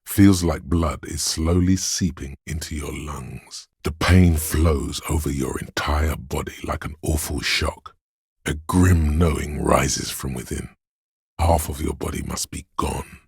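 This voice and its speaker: A man voicing a grim, wry inner voice of pain in a video game, narrating to the listener in a husky, deliberate, ominous way. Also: a bass voice, a little mellow, no room sound, studio quality, full character voice. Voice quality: gravelly, husky. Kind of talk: scripted second-person game narration.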